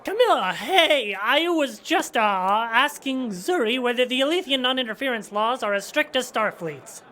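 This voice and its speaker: A woman's voice: a little high, lively intonation, normal speed, a bit bright, a faint crowd. A woman voicing a flustered, smitten young man in an audio drama, stammering and hesitant.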